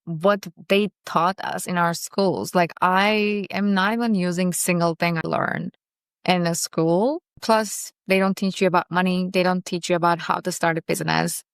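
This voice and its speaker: Smooth-voiced woman. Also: fairly low pitch, steady tone, no room sound, clean studio sound. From Turkey. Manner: earnest, optimistic, speaks in short phrases with pauses. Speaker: vlogger reacting to a podcast. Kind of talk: casual video commentary.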